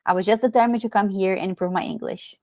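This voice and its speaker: A woman in her thirties from Brazil with a Brazilian Portuguese accent, a podcast guest telling her story in casual, animated chat. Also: medium pitch, fast, no room sound, studio quality. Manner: many fillers and restarts, animated storytelling.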